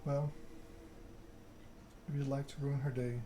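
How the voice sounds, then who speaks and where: Menacing male vampire enforcer read by a man: formal, cold, flat delivery, mid pitch, noisy recording. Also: lightly acted. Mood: cold, measured. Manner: measured monotone recitation, veiled threats. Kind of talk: video game dialogue read aloud.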